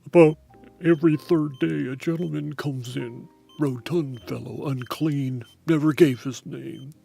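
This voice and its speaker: A man playing a formal, somewhat hesitant hospital doctor in an amateur audio drama, with a gravelly, husky voice. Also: on the high side, fairly even intonation, dark tone, faint music behind, full character voice. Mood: clinical. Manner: formal, clinical, halting.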